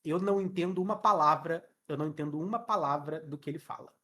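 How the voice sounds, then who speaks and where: A man's voice: fairly high pitch, quick pace, bright, forceful tone, slight background noise. A Brazilian man teaching English in a casual live online class, chatty and joking with slang, speaking into a headset. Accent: Brazilian Portuguese.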